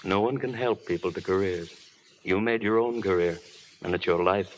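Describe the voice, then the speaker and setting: An actor as a solemn, protective older man in a golden-age radio play, his voice low and measured. He is earnest and kindly, on an old recording with faint noise. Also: close to an everyday voice, low-quality recording. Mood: solemn concern. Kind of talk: 1930s-40s radio drama acting.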